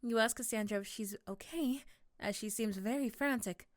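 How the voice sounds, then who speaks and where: A woman's voice: on the high side, moderate pace, dry recording, studio quality. A woman reading scripted second-person narration for an ASMR roleplay story.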